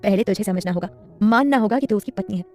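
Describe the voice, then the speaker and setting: A woman plays an affectionate middle-aged mother-in-law in a family romance story. She advises tenderly and with emphasis, speaking briskly over faint music. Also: medium pitch, a little mellow, close to an everyday voice. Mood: gentle advice.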